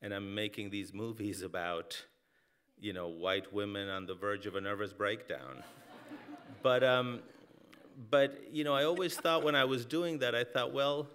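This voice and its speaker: A man speaks on a stage panel, thinking aloud in a somewhat low voice. He circles his points with many "you know"s and quick self-corrections, then presses them home. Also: fairly bright tone, smooth. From Mexico. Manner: reflective, many "you know" fillers and restarts. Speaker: film and TV director on a panel. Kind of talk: panel discussion.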